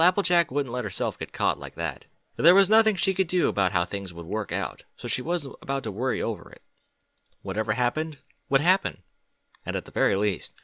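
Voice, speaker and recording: A man reads a story aloud in a low, bright voice that rises and falls with animated expression. The recording is close and dry, with no room echo. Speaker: fan fiction reader.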